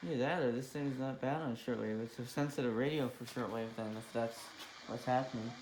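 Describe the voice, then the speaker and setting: A man's voice: medium pitch, brisk, a little mellow, slight background noise, low-quality recording. A man giving casual, unscripted commentary as a hobbyist, thinking aloud in a puzzled mutter. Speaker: radio hobbyist vlogger. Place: small indoor room at a workbench.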